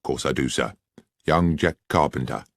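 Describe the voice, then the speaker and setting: A man's voice: a bass voice, moderate pace, no room sound, clean studio sound. A man reading aloud, voicing a respectful, matter-of-fact railway station master. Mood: dutiful. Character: middle-aged male railway station master, deferential.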